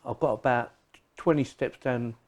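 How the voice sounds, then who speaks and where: A man with a mellow, relaxed voice talks casually through a product review. He is chatty and unscripted, circling back over his phrases as he explains. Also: mid-range voice, moderate pace. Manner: chatty, repeats phrases as he goes.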